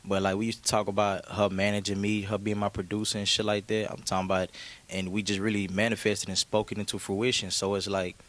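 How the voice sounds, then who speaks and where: Young rapper guy, low voice, easy slangy storytelling. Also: completely flat delivery, fast talker, a bit bright. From Memphis, Tennessee. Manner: laid-back, slangy, storytelling with frequent "you feel me". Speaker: rapper guest. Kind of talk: casual interview chat.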